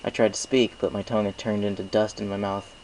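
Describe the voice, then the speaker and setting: A man's voice: lowish voice, flat delivery, quick pace, a little mellow, slight background noise, low-quality recording. A man reading first-person fiction as an amateur audiobook narrator, now and then stumbling over phrases.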